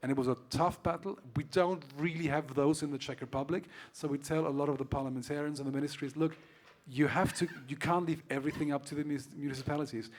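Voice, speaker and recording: Frank Austrian-raised panelist with restarts. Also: male voice, fairly high pitch, steady tone, fast talker, slight background noise. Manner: frank, many restarts and repeated words. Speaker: solar association representative. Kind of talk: panel discussion.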